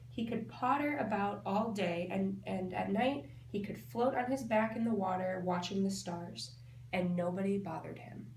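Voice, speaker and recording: A woman with a mid-range voice reads a children's story aloud as a teacher would. She is expressive and explanatory, thinking aloud for young listeners. The room is echoey, with faint noise behind her. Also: medium pitch.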